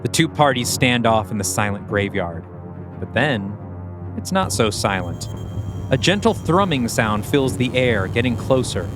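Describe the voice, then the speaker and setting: A man's voice: medium pitch, expressive, measured pace. A man reading scripted stage directions as the narrator of a dramatic audio play, with music behind him.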